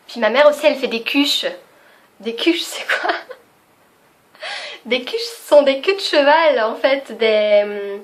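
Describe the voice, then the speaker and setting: Chatty young woman, high voice. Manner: chatty and spontaneous, laughs often, many fillers. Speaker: vlogger. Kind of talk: casual unscripted video chat.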